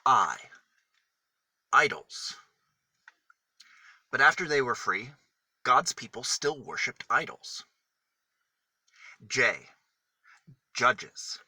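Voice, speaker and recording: A man reading a picture book aloud for small children, with deliberate, emphatic phrasing that sets single words apart. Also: medium pitch, big pitch swings, sharp tone, no echo. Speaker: children's storybook reader.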